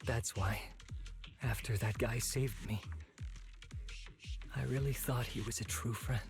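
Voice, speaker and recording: A man's voice: mid-range voice, fairly even intonation, slow pace, gravelly. A man playing a downcast, puzzled young night watcher in a video game. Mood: hurt, downcast. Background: game music.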